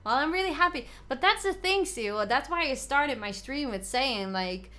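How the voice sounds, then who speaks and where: Giggly Dutch woman streaming, high voice. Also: normal speed. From Netherlands. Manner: excitable, giggly, reading chat aloud. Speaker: gaming livestreamer. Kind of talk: casual live stream chat.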